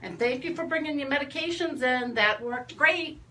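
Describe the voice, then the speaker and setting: A woman's voice: high-pitched, moderate pace, bright, forceful tone. A woman co-hosting a casual clinic chat, with some noise in the background. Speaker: clinic staff co-host.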